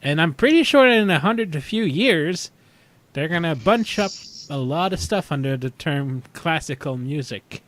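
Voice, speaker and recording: A man's voice: a little high, lively intonation, measured pace, fairly bright tone. A man co-hosting a podcast in casual chat, often stumbling and repeating words as he goes. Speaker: podcast co-host.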